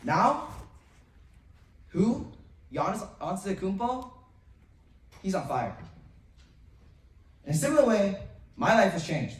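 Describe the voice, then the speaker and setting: A seventh grade boy giving an earnest memorized speech to a school assembly, with pauses and a few restarts. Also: sits high. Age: young teen, 7th grade middle school student. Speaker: student speaker. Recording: roomy, distant from the mic.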